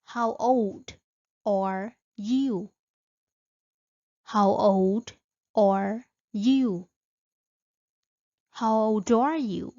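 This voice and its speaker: A woman reading short English practice phrases slowly and repeating them, with Vietnamese translations between repetitions. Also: mid-range voice, mellow, highs cut off. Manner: very slow, evenly spaced phrases.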